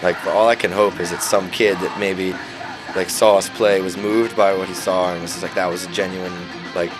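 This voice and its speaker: Low-voiced young guy, singer in a band, super casual, swears a lot, says like and man nonstop, loud music going behind him. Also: fairly even intonation, moderate pace, rough recording. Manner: laid-back, swears freely, says "like" and "man" constantly. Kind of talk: casual interview chat.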